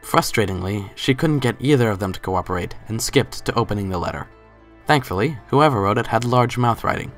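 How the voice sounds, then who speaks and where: A man's voice: on the low side, animated, brisk, faint music behind. A man giving a scripted dramatic reading as the narrator of a story.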